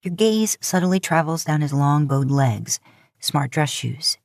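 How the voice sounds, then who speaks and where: Low-voiced woman narrating a romance story. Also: lively intonation, unhurried, creaky, a little mellow, dry recording, clean studio sound. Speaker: podfic narrator. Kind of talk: scripted second-person romantic story narration.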